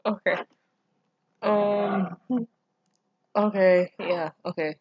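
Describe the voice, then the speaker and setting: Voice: medium pitch, fairly even intonation, extremely slow, no echo, dull audio. A person reading fan fiction aloud casually, breaking off with mocking, incredulous, sweary commentary. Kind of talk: casual reaction reading with sarcastic asides.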